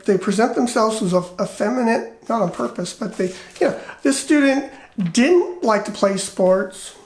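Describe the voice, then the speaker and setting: A middle-aged American man with a light, effeminate voice, telling personal stories casually in a rambling, animated way and acting out quoted dialogue. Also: sits high. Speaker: retired teacher. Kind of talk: casual personal storytelling and commentary. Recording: roomy, echoey indoor sound.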